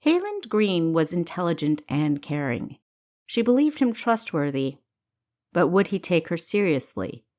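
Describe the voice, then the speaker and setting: A woman reading scripted fiction as the narrator of her own audiobook. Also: fairly low pitch.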